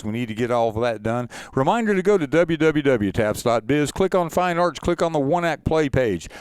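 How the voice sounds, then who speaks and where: A man with a clean, resonant voice of medium pitch delivers announcements for an organization's webinar. His manner is upbeat and promotional, and the sound is close and dry. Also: clear, resonant tone, no echo. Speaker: executive director co-presenting.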